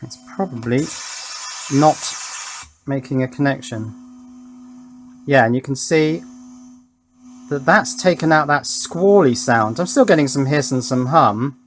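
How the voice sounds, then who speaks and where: A man's voice: medium pitch, expressive, a little slow, pauses often, slight background noise. A British man giving a casual hands-on electronics tutorial, musing aloud as he works and often ending on a tag question. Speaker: electronics hobbyist presenting a workbench demo.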